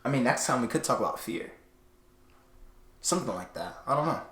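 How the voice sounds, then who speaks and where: A young man co-hosting a podcast, chatting casually and rambling with lots of fillers, often changing how he pitches his voice. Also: lively intonation, fairly quick, fairly bright tone, reverberant. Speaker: podcast co-host. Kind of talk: casual late-night chat.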